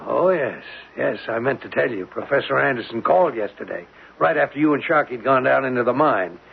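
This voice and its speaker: An American actor in a 1970s radio drama, playing a blustering, sarcastic, exasperated oil drilling boss with a gravelly, rough-edged voice. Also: male voice, medium pitch, animated, fairly quick, a little hiss or hum. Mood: dry, pointed. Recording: tinny narrowband 1970s radio recording.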